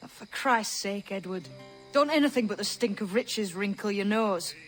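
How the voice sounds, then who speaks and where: A man's voice: sits high, animated, normal speed, fairly bright tone, soft background music. An actor playing a disillusioned pirate in a video game, scolding his friend in an exasperated, reproachful way. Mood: bitter reproach. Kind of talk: dramatic video game acting.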